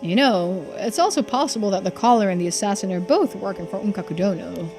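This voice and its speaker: Woman playing young man, calm, confident, matter-of-fact, mid-range female pitch, video game dialogue, music underneath. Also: quick pace, natural voice. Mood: shrewd, calm. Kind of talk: voicing game dialogue in a playthrough.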